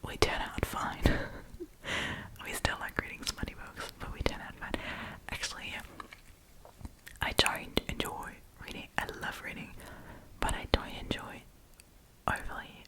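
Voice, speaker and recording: A woman whispers throughout in an ASMR storytime style, close to the mic. She reads and comments like a chatty host, with an informal, giggly manner. Her voice stays hushed and breathy, without full voicing. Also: measured pace, long pauses, low-quality recording. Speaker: host reading Reddit stories and commenting.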